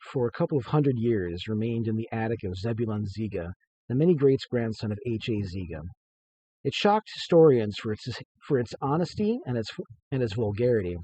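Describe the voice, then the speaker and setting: A man's voice: mid-range voice, dark tone, breathy edge, low-quality recording. A man giving an informal author's reading of his book, with casual, hesitant asides.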